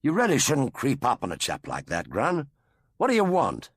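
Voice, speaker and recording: Man voicing a hero, brisk, clipped, raspy, dry. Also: fairly low pitch, huge pitch swings, no echo, heavily acted. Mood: concerned.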